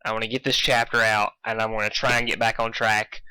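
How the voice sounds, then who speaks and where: A man reading fan fiction aloud in a casual, expressive way, stumbling over lines and poking fun at himself. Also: fairly low pitch, fairly quick, smooth, dry recording, low-quality recording. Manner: self-deprecating, swearing, stumbles over lines. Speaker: amateur fan fiction reader. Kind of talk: casual intro and expressive read-aloud narration.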